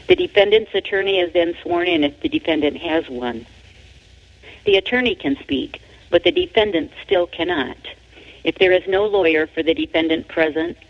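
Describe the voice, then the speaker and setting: A woman with an American accent reads scripted narration from her own book in a formal, measured manner. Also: low-pitched. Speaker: author reading own book. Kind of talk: scripted narration, read aloud. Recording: dull lo-fi radio-like audio.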